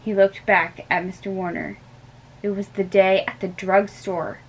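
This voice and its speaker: A young woman reading a storybook aloud in a slow, careful way with small stumbles, close to a webcam in a bedroom. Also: medium pitch, level tone, breathy edge, rough recording. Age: young adult. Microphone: webcam at close range.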